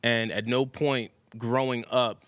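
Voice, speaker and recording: A man's voice: medium pitch, flat delivery, takes it slowly, fairly bright tone, smooth, no room sound. A man in his thirties hosting a podcast, steering a casual group chat with questions on a handheld mic in an office lounge. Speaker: podcast host.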